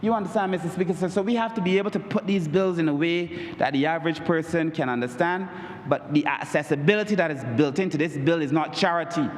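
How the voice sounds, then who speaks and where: A man with a Barbadian accent giving an emphatic parliamentary speech, repeatedly addressing the Speaker. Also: slight background noise. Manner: oratorical, repeats "Mister Speaker, sir" as a refrain; emphatic, rises in pitch on key points. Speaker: member of parliament. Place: large parliament chamber. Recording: echoey chamber with long reverb.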